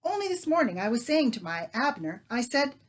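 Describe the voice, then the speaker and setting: Woman doing a chattering, shrill baker's wife. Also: big pitch swings, brisk. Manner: bustling, talkative, shrill. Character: chatty fussing middle-aged baker's wife.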